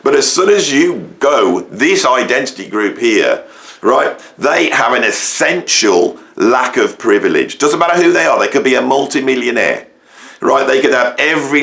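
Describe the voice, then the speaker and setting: Croaky middle-aged English man, gravelly. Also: medium pitch, a bit bright. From England, near Birmingham. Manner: rambling, emphatic, many fillers and restarts. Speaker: musician and educator, channel host. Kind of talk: opinionated monologue to camera.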